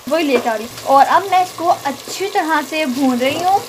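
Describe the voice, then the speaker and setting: A woman's voice: high voice, moderate pace, slight background noise, low-quality recording. A woman hosting a cooking channel, explaining each step of a home recipe in a friendly, casual voice-over. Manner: friendly step-by-step explaining, mixing in English words. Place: home kitchen. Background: frying and stirring sounds.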